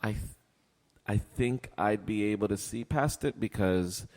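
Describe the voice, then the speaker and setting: A middle-aged man chatting casually and candidly as a podcast co-host, phrasing his thoughts in a reflective, halting way. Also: fairly low pitch, a little mellow. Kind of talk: casual conversational podcast chat. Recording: echoey hall-like reverb.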